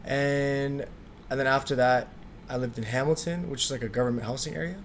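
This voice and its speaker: Young man, interview guest, relaxed, filler words, faint background noise. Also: medium pitch, a little fast. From Slovakia. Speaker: guest, clothing designer. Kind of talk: casual interview chat.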